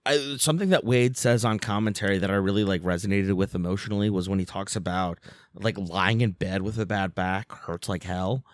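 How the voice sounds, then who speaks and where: A man co-hosting a casual wrestling podcast, a little hoarse and tired, talking with animated enthusiasm. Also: low voice, brisk, dry recording, studio quality. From Philadelphia area. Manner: animated, reads out quotes with big swings. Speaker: podcast co-host. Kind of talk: casual chat.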